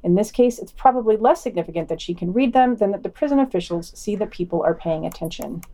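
A woman's voice: mid-range voice, expressive, fast talker, warm tone. A woman reading scripted podcast news and adding her own commentary.

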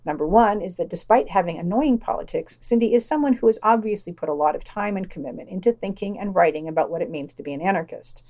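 A woman's voice: mid-range voice, fast talker, few pauses, mellow. A woman reading scripted podcast reviews as host, wry and opinionated, with casual asides.

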